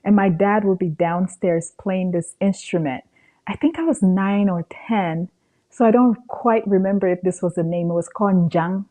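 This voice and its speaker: A woman's voice: medium pitch, fairly even intonation, normal speed, warm tone, rough recording. A woman from Cameroon, a podcast guest, telling a personal story in a conversational way and acting out dialogue as she goes.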